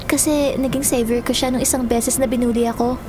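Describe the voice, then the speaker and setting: A woman's voice: high voice, steady tone, a little fast, background noise, close to an everyday voice. A woman acting a sweet, cheerful teenage schoolgirl in a Tagalog audio drama. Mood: happy.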